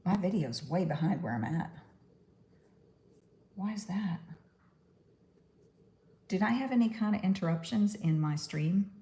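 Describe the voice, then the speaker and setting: Low, gravelly woman with a Texan twang. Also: lively intonation, unhurried, long pauses, mellow. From New York. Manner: rambling, chatty, laughs at her own puns. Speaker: stop-motion puppet maker. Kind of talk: casual crafting livestream chat.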